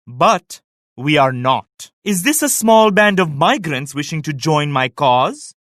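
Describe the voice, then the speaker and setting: An actor playing a proud, pious emperor in a video game, speaking in a solemn, formal, measured way like an orator. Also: male voice, a little high, a bit bright, dry recording, clean studio sound. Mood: stern, menacing. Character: proud, pious emperor, stately and morally stern. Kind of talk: scripted video game voice acting.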